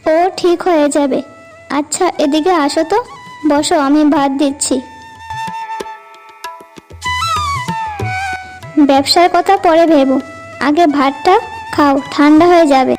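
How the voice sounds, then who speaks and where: A woman voicing a gentle, caring young village housewife in a cartoon, with a soothing, attentive manner. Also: high voice, lightly acted. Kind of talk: cartoon dialogue acting. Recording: music bed behind voice.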